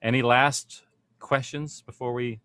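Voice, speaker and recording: Man, mid-pitched, informal panel host and explainer, very little room sound. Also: fairly even intonation, unhurried, sharp tone, clean tone, no echo. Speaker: panel host, audio production producer.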